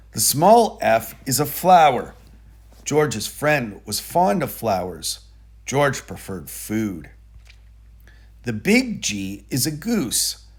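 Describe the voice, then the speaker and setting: Male children's book reader, expressive and animated, unhurried pace, slightly husky tone. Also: mid-range voice. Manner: deliberate, expressive storytelling for young children. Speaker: storybook reader. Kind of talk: children's picture book read-aloud.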